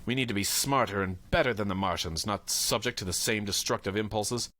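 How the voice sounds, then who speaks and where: An actor playing a grim, commanding war pilot and Martian hunter in a pulp radio drama, stern and declamatory. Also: male voice, fairly low pitch, fairly quick, pushed and bright. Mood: grim brooding.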